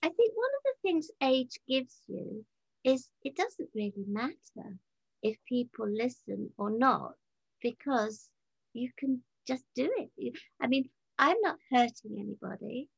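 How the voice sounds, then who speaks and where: A woman's voice: on the high side, expressive, a little slow, vocal fry, slightly rough, no echo. A woman in her seventies chatting as an interview guest on a video call, telling anecdotes and quoting people as she goes. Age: 70s. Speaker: interview guest, leader of a community organisation. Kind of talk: casual anecdotal interview talk. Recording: dull, lo-fi video-call audio.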